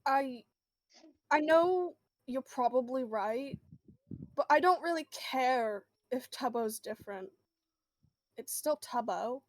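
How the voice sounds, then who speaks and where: A woman's voice: sits high, expressive, slow pace, long pauses, no room sound. A woman playing a tabletop role-playing game, chatting casually in and out of character in a hesitant, rambling way.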